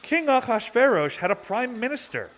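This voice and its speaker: A man narrating a puppet show for children in simple, measured, theatrical phrases. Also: very high-pitched, very animated, noisy background. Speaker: storyteller, narrator. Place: social hall, live broadcast.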